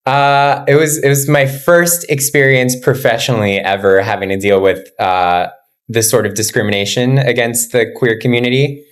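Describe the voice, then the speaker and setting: A young adult man from Miami, a podcast guest telling stories in an animated, laughing way. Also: medium pitch, moderate pace. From Miami, USA. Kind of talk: casual chat.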